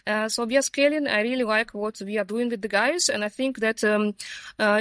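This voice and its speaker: A woman from Ukraine, answering interview questions on a rooftop in a friendly way, pausing with fillers. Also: medium pitch, a bit bright, dry recording. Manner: friendly, pauses with fillers, laughs easily. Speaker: sales team lead, interview guest. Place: sunny rooftop at a conference.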